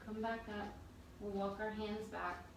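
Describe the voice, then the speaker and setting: A woman teaching a yoga class, calmly guiding breath and movement step by step. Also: mid-range voice, a little slow. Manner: calm, gentle cueing of breath and movement. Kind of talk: guided yoga instruction. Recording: very echoey room, distant mic, faint noise.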